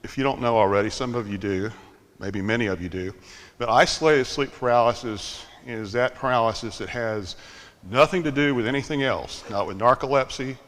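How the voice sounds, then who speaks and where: An older man giving a conference talk in a slightly monotone, relaxed, self-deprecating way, with plenty of fillers. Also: mid-range voice, normal speed. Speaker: conference speaker. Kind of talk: conference presentation. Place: conference hall. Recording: long hall reverb.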